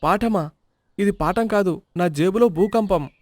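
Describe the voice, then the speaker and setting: A man's voice: high-pitched, a little slow. A man doing Lokanatham, a stingy village miser. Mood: complaining. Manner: whiny, counts every rupee. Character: stingy middle-aged village man, penny-counting, comic. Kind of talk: storybook voice acting.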